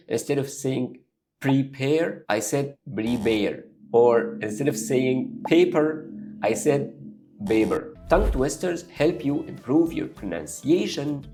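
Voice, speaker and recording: A man's voice: medium pitch, darkish tone. A young man whose first language is Arabic, giving an upbeat step-by-step English lesson straight to the viewer. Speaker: English learning YouTuber. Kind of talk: direct-to-camera lesson.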